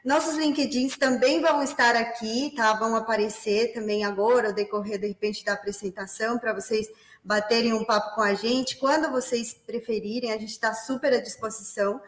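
A woman's voice: a little high, fairly even intonation, moderate pace, reverberant. A woman from Peru with a Spanish accent, hosting a corporate webinar in a friendly way and using many fillers. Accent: Peruvian Spanish. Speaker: webinar host, business development manager.

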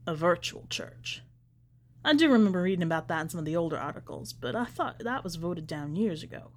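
A woman's voice: on the low side, lively intonation, brisk, voice pushed down. Dogged male reporter, read by a woman, terse and probing, suspicious, for an audiobook story. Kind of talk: acted storybook dialogue.

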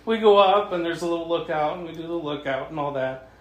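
A man from Canada telling a casual, humorous travel story to a writers' group, animated and chuckling often as he acts out the dialogue. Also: on the high side, fast talker, lo-fi sound. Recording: echoey room with faint noise.

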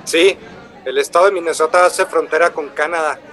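A male actor plays an earnest, polite traveling buyer in a radio drama. His voice is a touch high and his manner eager and explanatory. A low murmur of café customers sits behind him. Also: a little fast, a bit bright, a faint crowd, lightly acted. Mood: patient explaining.

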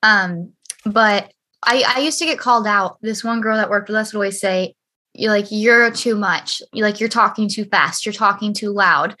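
A young woman with a high-pitched voice chatting casually on a podcast, talkative and emphatic, swearing freely. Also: moderate pace, bright, forceful tone.

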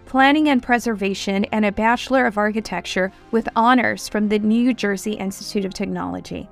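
A woman hosting a podcast, reading a scripted introduction and a formal guest biography. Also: mid-range voice. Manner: reads a formal guest bio. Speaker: podcast host.

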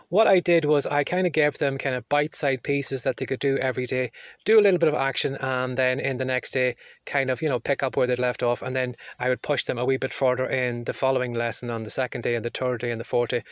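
Hoarse croaky man, casual solo podcast, dry close mic. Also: mid-range voice, no echo. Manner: chatty, rambling, apologetic asides. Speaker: podcast host.

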